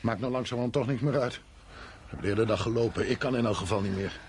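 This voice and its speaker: An actor in a radio drama playing an exhausted, irritable husband on the run, curt and sardonic. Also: male voice, medium pitch, fast, darkish tone, lightly acted. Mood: weary. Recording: noisy radio play recording.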